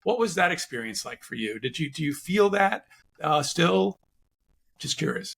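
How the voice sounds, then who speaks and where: Gravelly, husky man hosting an interview. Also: on the high side, expressive, normal speed, fairly bright tone. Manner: curious, rambling questions with many restarts. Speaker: podcast host. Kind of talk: conversational interview.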